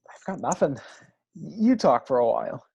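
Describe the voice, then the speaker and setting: A man playing a shy, self-doubting man with a halting, earnest way of talking in a play table read. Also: on the high side, sing-song, vocal fry, a little mellow, slightly rough, highs cut off, heavily acted. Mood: awkward, earnest.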